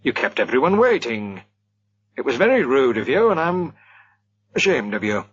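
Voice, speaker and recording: A man's voice: mid-range voice, sing-song, moderate pace, fairly bright tone, breathy edge, muffled recording, voice pushed up. A man voicing a stern middle-aged host who speaks firmly and reproachfully.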